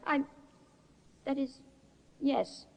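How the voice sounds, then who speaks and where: A woman's voice: sits high, flat delivery, very slow, vocal fry, heavily acted. An actress playing a nervous young woman witness giving hesitant, faltering answers in an old radio courtroom drama. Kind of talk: 1950s radio acting.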